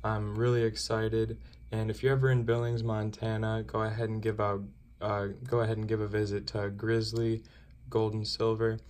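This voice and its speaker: Laid-back young man, low and flat. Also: moderate pace. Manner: relaxed, rambling, frequent uh fillers. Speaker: coin-collecting vlogger. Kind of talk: casual vlog chat. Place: car, then indoors at a desk.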